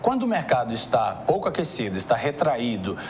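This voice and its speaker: A man's voice: mid-range voice, sing-song, fairly quick. Brazilian male consultant explaining, faint noise. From Salvador, Bahia, Brazil. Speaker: consultant and guest interviewee. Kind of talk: explanatory interview answers.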